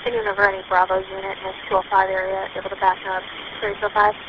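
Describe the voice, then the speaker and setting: Female police dispatcher, procedural, businesslike, quick delivery, dull staticky radio transmission. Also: medium pitch, monotone. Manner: clipped, businesslike. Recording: lo-fi, noisy police scanner radio.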